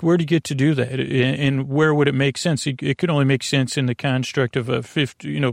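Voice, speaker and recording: A man hosting a sleep podcast in a rambling, goofy bedtime monologue, halting often, trailing off and restarting in friendly banter. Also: medium pitch, vocal fry, dry recording, studio quality.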